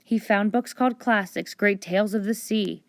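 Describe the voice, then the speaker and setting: A woman reading a storybook aloud with expressive children's narration. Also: medium pitch, normal speed, gravelly, dry recording, studio quality. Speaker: storybook reader.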